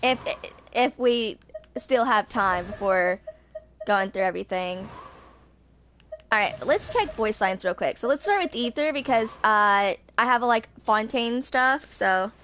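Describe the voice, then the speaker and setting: High-voiced young woman streaming a game. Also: expressive, a little slow, a bit bright, slight background noise. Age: 20s. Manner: rambling, thinking aloud. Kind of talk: casual rambling gameplay commentary.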